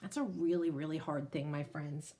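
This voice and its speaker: A woman's voice: low-pitched, steady tone, normal speed, warm tone. A woman reading a picture book aloud to children, explaining it and addressing young listeners directly as a teacher would. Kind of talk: expressive storybook read-aloud with asides to children.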